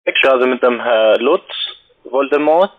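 A man who is a non-native speaker, giving a scripted pitch as a call centre caller. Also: animated, unhurried. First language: not German. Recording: muffled phone line.

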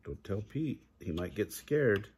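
A middle-aged man with a husky voice reading a children's storybook aloud, with casual asides and questions to the young listeners. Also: lowish voice, very animated, unhurried, a little mellow, a little hiss or hum. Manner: chuckles often, asks the listening children questions. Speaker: grandpa reading picture books aloud for kids. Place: living room, indoors.

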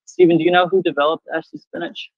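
A man's voice: on the high side, fairly even intonation, fast, no echo, muffled recording. A young man, a chef giving an easygoing cooking demo outdoors in a garden. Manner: easygoing explaining.

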